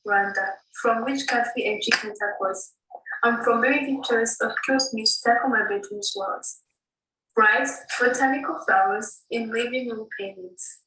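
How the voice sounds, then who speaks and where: A woman reading her own poem aloud in an earnest, measured recitation. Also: a little high, sharp tone, slight background noise. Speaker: student poet. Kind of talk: poetry reading. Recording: echoey room, distant mic.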